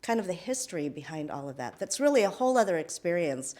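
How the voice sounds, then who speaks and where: A woman's voice: on the low side, sing-song, quick pace, darkish tone. A middle-aged woman born in the Philippines, hosting an interview in a chatty way with many fillers and restarts. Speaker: host, interviewer. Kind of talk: conversational interview.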